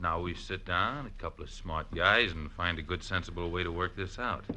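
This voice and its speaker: A man's voice: low-pitched, moderate pace, bright, forceful tone, full character voice. An actor playing a smug, menacing gunman who gives curt, slangy orders in 1940s radio crime drama style. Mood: smug, threatening. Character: red-haired male gunman, smug and menacing tough guy. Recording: noisy old radio broadcast with clicks.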